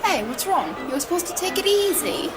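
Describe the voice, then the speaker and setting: Woman playing a high-voiced, blunt robot bodyguard. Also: very animated, fairly quick. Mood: concerned, protective. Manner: blunt, bossy. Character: gruff, impatient, protective female robot bodyguard. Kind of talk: amateur comic dub dialogue. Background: clear music bed.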